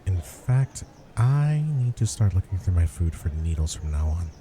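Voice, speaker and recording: Male actor as sarcastic do-gooder hero for comedy ASMR roleplay; deep, mellow, earnest, slight background noise. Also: sing-song, moderate pace. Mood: earnest warning. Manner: sarcastic, talks straight to the listener. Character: earnest, wry male superhero griping at a cafe.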